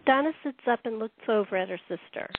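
A woman's voice: on the high side, quick pace, slight background noise. A woman reading stage directions as the narrator of a radio play. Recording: thin, dry phone-like audio.

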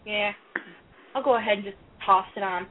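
A woman's voice: mid-range voice, normal speed. A woman from Illinois co-hosting a podcast, chatting in an emphatic, talkative way. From Illinois, USA. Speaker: podcast co-host. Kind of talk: casual chat. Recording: tinny phone-like call audio.

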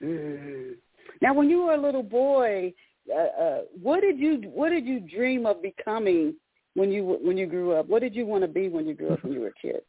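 A woman's voice: medium pitch, big pitch swings, normal speed, slightly rough, no room sound. Woman hosting over a tinny phone line. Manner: warm chatty introductions with repeated words and restarts. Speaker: book show host. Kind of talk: radio interview call-in.

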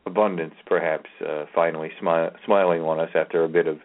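A man's voice: deep voice, fairly even intonation, brisk. A man chatting casually on a radio network, rambling and trailing off with hesitations. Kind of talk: casual chat. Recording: phone-line radio call.

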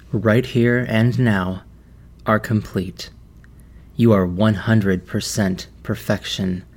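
A man leading a guided meditation in a measured, deliberate manner with long pauses. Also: fairly low pitch, darkish tone.

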